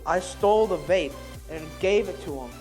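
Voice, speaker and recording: A man's voice: high voice, moderate pace, darkish tone, faint music behind, low-quality recording. A teenage boy, a podcast co-host, rambling through a long story with lots of "I was just like" asides. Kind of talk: casual chat, telling a long story.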